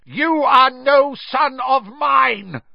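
A man voicing a stern, hate-filled older male judge in a story, loud, formal and clearly spoken. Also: very high-pitched, extremely slow, dry recording, lo-fi sound, pitched above the performer's own voice, full character voice. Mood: furious.

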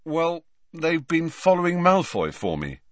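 A man voicing a level-headed, slightly impatient teenage boy with a quick, practical manner in an audiobook. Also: on the high side, huge pitch swings, no room sound, studio quality, pitched above the performer's own voice. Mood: defensive.

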